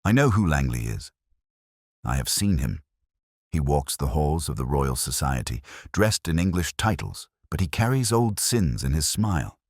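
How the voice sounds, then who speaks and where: Male narrator as secretive informant, extremely deep, ominous and formal, close dry sound, storybook letter. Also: big pitch swings, normal speed, no echo, exaggerated voice. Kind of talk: audiobook letter read in character.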